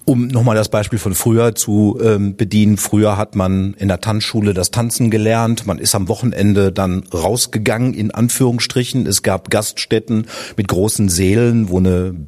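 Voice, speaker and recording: A man's voice: on the low side, steady tone, moderate pace, hardly any pauses, darkish tone. A man hosting an interview, leading into his questions at length in a conversational way. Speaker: interviewer, host. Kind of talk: conversational interviewing with long lead-ins.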